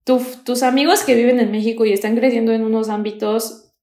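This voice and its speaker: A woman's voice: on the high side, steady tone, fairly quick, warm tone, reverberant. A young Mexican woman with a Mexican accent, hosting a podcast in casual, slangy, emphatic chat. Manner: slangy, emphatic, peppers speech with "güey". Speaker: podcast host.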